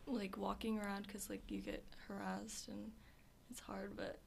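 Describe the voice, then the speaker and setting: Teen girl with a gravelly fry in her voice, soft-spoken, says like a lot, a faint hiss behind her. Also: mid-range voice, flat delivery, a little slow. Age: teens. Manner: soft-spoken, filler-heavy with frequent "like". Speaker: interview guest. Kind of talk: casual interview.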